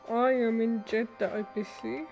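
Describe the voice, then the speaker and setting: A woman's voice: on the high side, fairly even intonation, moderate pace, creaky, darkish tone, highs cut off. A woman livestreaming a game with casual, musing commentary, often echoing phrases back. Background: game music.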